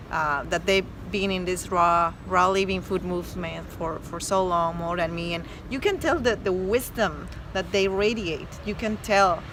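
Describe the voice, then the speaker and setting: A woman's voice: fairly low pitch, background noise. Earnest woman with a Mexican accent. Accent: Mexican Spanish. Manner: earnest and emphatic, with many fillers and restarts. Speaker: guest, medical doctor. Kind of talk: casual interview chat.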